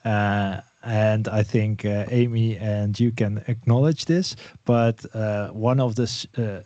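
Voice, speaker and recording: A man from the Netherlands, a guest on an online panel, talking in a relaxed way with lots of fillers. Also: on the low side, takes it slowly, no room sound, muffled recording. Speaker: Microsoft 365 specialist guest. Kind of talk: casual online panel talk.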